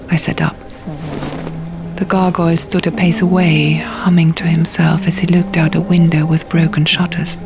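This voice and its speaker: A woman reading a first-person fantasy audiobook in a measured, dramatic storytelling manner. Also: lowish voice, gravelly. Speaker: audiobook narrator. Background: music and sound effects.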